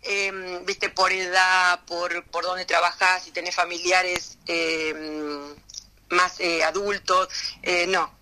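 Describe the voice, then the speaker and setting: A middle-aged woman from Argentina with an Argentine Spanish accent, talking casually as an interview guest with many pauses, fillers and restarts. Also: on the low side, little pitch movement, bright, forceful tone, low-quality recording.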